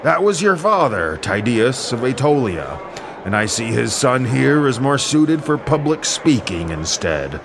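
A man's voice: mid-range voice, sing-song, unhurried, vocal fry, noisy background, pitched above the performer's own voice. A man playing a haughty, commanding Greek warlord king in an epic audio drama, with declamatory, rhetorical delivery. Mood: scornful, taunting.

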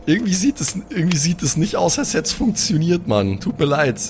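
A man's voice: fairly high pitch, big pitch swings, brisk. A man chatting casually and slangily while improvising as a player in a tabletop role-playing show. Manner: colloquial slangy German with English bits. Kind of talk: casual improvised in-character chat. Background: music.

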